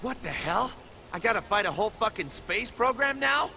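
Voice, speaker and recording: A man's voice: sits high, animated, moderate pace, sharp tone, full character voice. A man voicing a brash, blunt young American assassin in a video game cutscene. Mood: angry. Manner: cocky, blunt, casual. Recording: clear background noise.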